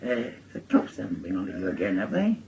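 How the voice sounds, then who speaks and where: A man's voice: deep voice, wildly swooping pitch, fast, gravelly, lo-fi sound, background noise, exaggerated voice. An actor playing a stubborn, hot-tempered middle-aged working-class father with a Northern English accent in a naturalistic radio drama. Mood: wry, weary.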